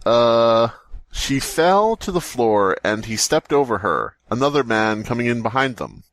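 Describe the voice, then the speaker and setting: A man's voice: medium pitch, sing-song, a little slow, a bit bright. A man co-hosting a podcast, chatting casually and reading a story aloud in a joking, sarcastic, sweary way. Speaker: podcast co-host. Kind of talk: casual comedic chat and mocking story read-aloud.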